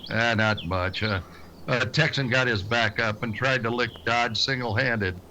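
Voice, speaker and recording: An actor playing a weary, stern frontier marshal in a grave radio western style. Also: male voice, medium pitch, unhurried, sharp tone, slight background noise. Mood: dry, easygoing. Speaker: lead actor and narrator. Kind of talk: old-time radio western drama acting.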